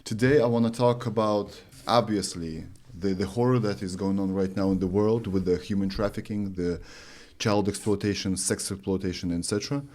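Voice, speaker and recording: Host, thirties, from Lithuania, Russian native; slightly low-voiced, earnest, halting phrasing, studio boom mic. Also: male voice, steady tone, darkish tone. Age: 30s. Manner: earnest, searching for words, many restarts. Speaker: podcast host. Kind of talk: interview. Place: studio with green curtain. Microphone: studio mic on boom arm.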